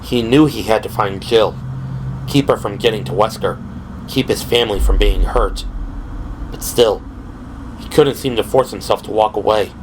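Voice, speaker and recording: A man's voice: lowish voice, moderate pace, long pauses. A man reading dramatic scripted narration for a horror audio drama. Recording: clearly noisy background. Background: ambient sound effects.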